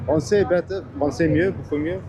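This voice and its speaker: A man who is a newer tuk-tuk driver, talking in halting outdoors. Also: a little high, crowd noise. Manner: halting, listing languages. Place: outdoors on a Lisbon street.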